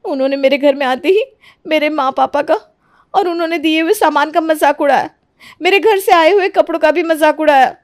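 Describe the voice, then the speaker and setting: A scheming young daughter-in-law from a cartoon family drama, acted by a woman. Her voice sits high, and she argues in an accusing, self-righteous, melodramatic way. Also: fairly quick, no echo, clean studio sound, lightly acted. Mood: indignant. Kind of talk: Hindi animated moral-story dramatization.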